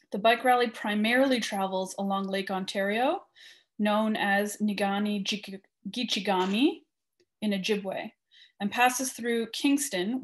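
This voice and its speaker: A woman with a Canadian accent, a guest speaker at an online meeting, reading a prepared personal statement over a video call. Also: mid-range voice.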